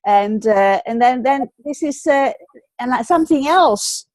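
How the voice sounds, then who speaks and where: A female talk show host with a Greek accent and a fairly high voice, recorded close and dry. She is effusive and informal, feeling for her words and often repeating them as she goes. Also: lively intonation, unhurried, dry recording. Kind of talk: casual talk show hosting.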